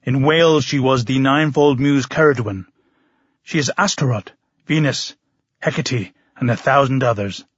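A man's voice: medium pitch, normal speed, breathy edge, no echo, pitched below the performer's own voice, close to an everyday voice. A male reader plays a sinister druid from an audiobook. He speaks with grandiose, incantatory fervour and solemn bitterness. The sound is close and dry, slightly muffled. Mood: reverent, incantatory. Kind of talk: audiobook character reading.

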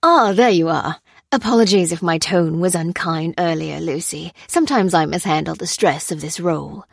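A woman's voice: lowish voice, moderate pace, creaky, husky, dry recording, studio quality. A woman voicing a smugly cheerful, callous male head scientist in an audiobook, with a formal, genial, pompous manner. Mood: breezy, smug.

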